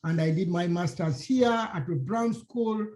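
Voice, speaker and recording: Ugandan man, fairly high voice. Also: lively intonation, measured pace, warm tone. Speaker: faculty panelist. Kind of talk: panel discussion, personal introduction.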